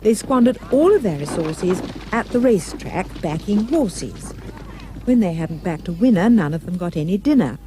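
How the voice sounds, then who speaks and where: A woman's voice: on the low side, sing-song, moderate pace, dark tone, a little hiss or hum. A woman narrating a rhyming storybook tale for a puppet show with dramatic, emphatic delivery.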